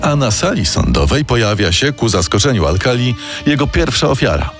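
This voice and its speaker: Animated male true-crime narrator over music. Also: mid-range voice, a little fast. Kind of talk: scripted dramatic narration.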